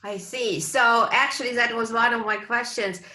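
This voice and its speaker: A woman with a Russian accent interviews a guest conversationally. Her voice is fairly high and bright, and it comes through with noticeable room echo. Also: fairly even intonation, moderate pace. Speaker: interview host.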